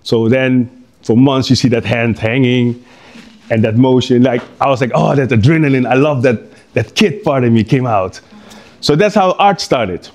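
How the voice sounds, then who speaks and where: Middle-aged man, artist speaking to a live audience; loose, meandering delivery with frequent ums and restarts, average pitch. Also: moderate pace, darkish tone. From Curaçao. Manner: rambling, hesitant, many fillers and restarts. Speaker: artist giving a talk. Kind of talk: informal artist talk to an audience.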